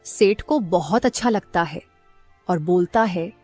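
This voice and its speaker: A woman's voice: lowish voice, unhurried, a little mellow, faint music behind. A woman reading scripted narration as the narrator of a story.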